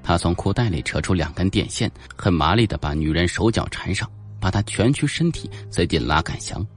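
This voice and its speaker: A man's voice: sits low, expressive, brisk, slightly rough, faint music behind. A man narrating a suspense audiobook in a dramatic, scripted storytelling style.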